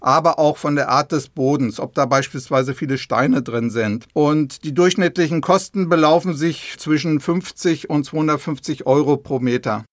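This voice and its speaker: A man's voice: on the high side, normal speed, few pauses, no room sound, studio quality. A man explaining things simply and didactically to young listeners as an expert guest on a podcast. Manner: didactic, illustrating with everyday comparisons. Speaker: expert guest, government department head.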